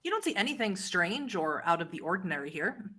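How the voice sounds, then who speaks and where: A woman's voice: on the low side, big pitch swings, a little fast, sharp tone, breathy edge. A woman game master narrating a tabletop roleplay game in a composed, descriptive manner.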